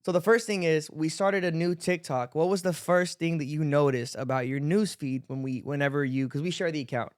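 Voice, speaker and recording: A man's voice: fairly high pitch, fairly even intonation, fairly quick, hardly any pauses, clean tone, no echo, studio quality. A man co-hosting a podcast, talking in a casual, slangy way. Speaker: podcast co-host.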